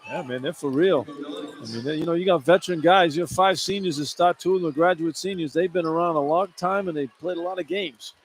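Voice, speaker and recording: A man's voice: fairly high pitch, a little fast. A man working as a radio color commentator, talking through basketball tactics in an informal, colloquial way from courtside. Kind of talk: conversational basketball analysis. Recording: live arena broadcast, clear background noise. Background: arena ambience and noise.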